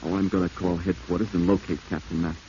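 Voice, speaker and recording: A very deep male voice from an actor plays a corrupt, desperate lawman in 1940s radio drama. He is domineering and scheming, with clipped, commanding delivery and a dark tone on a muffled, hissy recording. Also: level tone, quick pace, lightly acted. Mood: cold, commanding.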